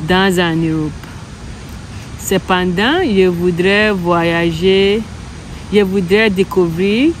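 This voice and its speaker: A woman's voice: medium pitch, poor audio. A young woman from Ghana, an English speaker practicing French and speaking hesitantly, often correcting herself. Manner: hesitant, halting, self-correcting. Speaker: French learner. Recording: steady background hum.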